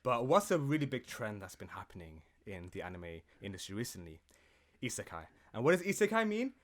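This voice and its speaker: A man's voice: on the low side, big pitch swings, brisk. A man co-hosting a gaming podcast, casual, jokey and sweary, improvising and bantering.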